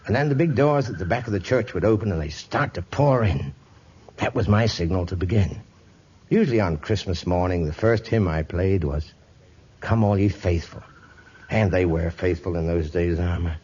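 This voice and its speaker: An actor playing a gentle, forgiving older missionary reverend, speaking mildly and earnestly in 1950s radio drama style. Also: male voice, sits low, moderate pace, slightly rough. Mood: warm, calm. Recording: muffled vintage radio recording.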